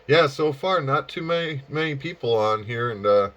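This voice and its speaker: A man with an average-pitched voice chats casually as a ham radio operator. He talks haltingly and in a folksy storytelling way. The recording is somewhat dull and muffled. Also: lively intonation, a bit bright. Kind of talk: casual radio contact chat.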